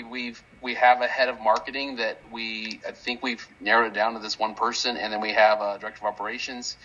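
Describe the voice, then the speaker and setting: A man hosting a casual online Q&A, speaking haltingly and rambling, often restarting his sentences mid-thought. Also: mid-range voice, a bit bright, muffled recording. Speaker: host of a crypto project community audio call. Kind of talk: casual unscripted Q&A chat. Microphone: online audio call.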